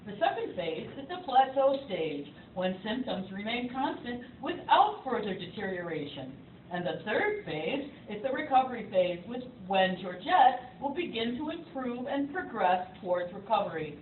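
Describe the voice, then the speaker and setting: A woman's voice: fairly high pitch, sing-song, moderate pace. A woman narrating an educational puppet show with a scripted, instructive delivery aimed directly at the viewers. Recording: tinny, echoey, distant recording with faint noise.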